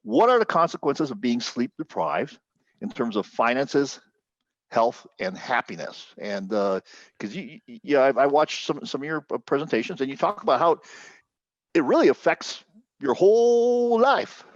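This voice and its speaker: Booming radio-voiced male podcast host. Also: expressive, moderate pace, no echo, highs cut off. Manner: booming announcer-style radio voice for intros; laughs often, loose and chatty. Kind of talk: casual interview podcast.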